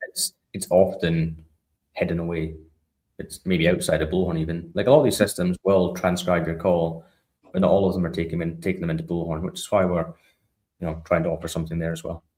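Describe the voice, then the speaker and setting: A man with a deep, low-pitched voice talks through a software product as a guest expert. His delivery is informal and explanatory, often checking in with short tag questions. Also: level tone, a little fast. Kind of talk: conversational product walkthrough.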